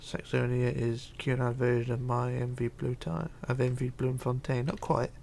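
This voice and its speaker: A man hosting a casual livestream, reading chat aloud and chatting in a rambling, halting way. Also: medium pitch, flat delivery, vocal fry, a little mellow, slight background noise. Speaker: Minecraft livestreamer.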